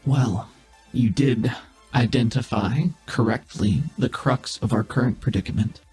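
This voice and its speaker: A man playing a calm, all-knowing, exacting overseer who asks measured, probing questions in a tabletop game. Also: medium pitch, soft background music, lightly acted. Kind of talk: in-character roleplay in a tabletop game.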